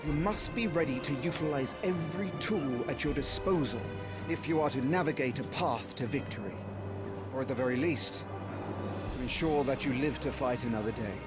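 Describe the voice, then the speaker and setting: A man's voice: medium pitch, normal speed, loud music behind the voice, natural voice. A man playing a seasoned, formal swordmaster in a fantasy video game, teasing and instructing a young lord in a theatrical manner. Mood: wry, instructive.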